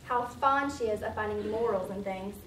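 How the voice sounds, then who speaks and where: A woman's voice: mid-range voice, normal speed, close to an everyday voice. A woman playing Alice as a polite, curious young girl in readers theater, in a childlike, musing manner. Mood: polite, puzzled. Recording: echoey room, distant mic, noisy.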